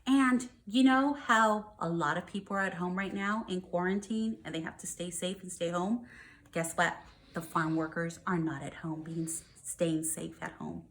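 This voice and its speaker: A woman's voice: fairly low pitch, normal speed, slight background noise. American woman teacher reading to young children. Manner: simple child-directed explaining, checks in with questions. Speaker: first grade teacher. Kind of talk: read-aloud with explanations to young children.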